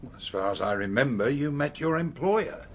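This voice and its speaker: Actor as measured British police superintendent. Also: male voice, mid-range voice, animated, a little hiss or hum, natural voice. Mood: probing. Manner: measured, firm, courteous. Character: authoritative but humane senior police officer. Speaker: actor in a radio drama. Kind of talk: radio drama acting.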